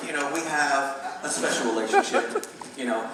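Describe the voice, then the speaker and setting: A man's voice: mid-range voice, moderate pace, close to an everyday voice. A man on stage playing a pompous, showy congressional candidate who styles himself an American patriot, recorded in an echoey hall. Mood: smug, evasive. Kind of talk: live stage play acting. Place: theater stage, audience behind. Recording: echoey hall, distant mic, noisy audience.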